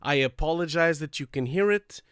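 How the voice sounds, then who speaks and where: A man's voice: fairly high pitch, normal speed, fairly bright tone, clean tone, no room sound. A man hosting a livestreamed call-in show in casual, upbeat chat with viewers, nasal and congested from a cold, his breathing audible on a close microphone. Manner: chatty and upbeat, addressing viewers directly. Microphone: close streaming microphone.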